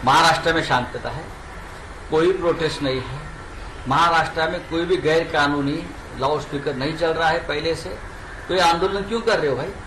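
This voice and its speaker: A man's voice: a little high, normal speed, fairly bright tone, faint music behind. A man giving combative political remarks to the press, full of rhetorical questions. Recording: echoey, noisy press interview.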